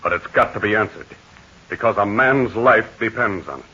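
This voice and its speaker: A man's voice: lowish voice, bright, forceful tone, husky. A man in 1940s radio acting, playing a commanding heroic aviator captain. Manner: commanding, brisk, take-charge. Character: confident heroic adult male aviator captain. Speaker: Captain Midnight. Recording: dull hissy 1940 radio transcription.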